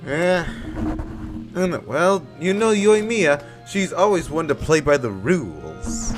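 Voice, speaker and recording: A man's voice: fairly high pitch, big pitch swings, slow, music in the background. A man giving casual, joking, offhand commentary while playing a video game. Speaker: gamer commenting on a playthrough.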